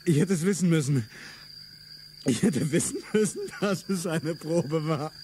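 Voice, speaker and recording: A man's voice: on the high side, measured pace, darkish tone, slight background noise, lightly acted. A man playing a fierce, declamatory revolutionary. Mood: fervent. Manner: solemn, declamatory delivery. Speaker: radio drama actor. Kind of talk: stage-like radio play acting.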